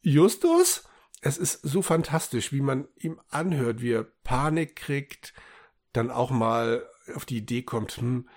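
A man's voice: medium pitch, very animated, unhurried, darkish tone. A man co-hosting a podcast, talking casually with an enthusiastic, emphatic manner. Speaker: podcast co-host. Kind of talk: casual conversational podcast chat.

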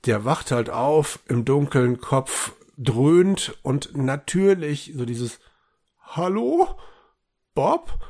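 A man's voice: mid-range voice, very animated, very slow, darkish tone. A man co-hosting a podcast, talking casually with an enthusiastic, emphatic manner. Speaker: podcast co-host. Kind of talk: casual conversational podcast chat.

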